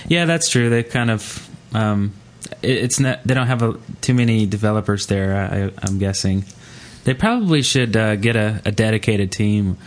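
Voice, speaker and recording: A man hosting a casual gaming podcast, talking in a halting, rambling way. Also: on the low side. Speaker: podcast host.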